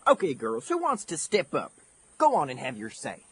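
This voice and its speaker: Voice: mid-range voice, wildly swooping pitch, normal speed, slightly rough, heavily acted. An actor voicing a cocky, mocking turkey vulture with a theatrical, folksy drawl in an audio horror comedy. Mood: taunting, folksy.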